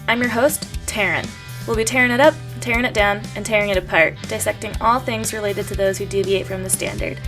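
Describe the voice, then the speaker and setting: A woman's voice: medium pitch, fairly quick. A woman podcast host delivering a scripted introduction in an informal style. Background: guitar music.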